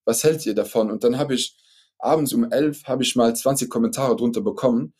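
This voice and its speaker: This is a man with a Luxembourgish accent whose first language is French, chatting as a podcast guest. His voice is dark and mellow. He pauses often and circles back with fillers and restarts as he explains. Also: medium pitch. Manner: halting, many fillers and restarts. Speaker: startup founder guest. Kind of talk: casual podcast interview.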